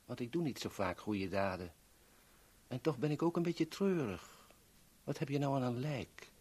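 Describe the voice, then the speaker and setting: Husky, courteous cold-blooded gunman. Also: mid-range voice, expressive, darkish tone. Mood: polite, wry. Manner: formal, deliberate, drily polite. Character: courteous, cold-blooded male gunman, dryly matter-of-fact. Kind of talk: 1970s Dutch radio drama acting.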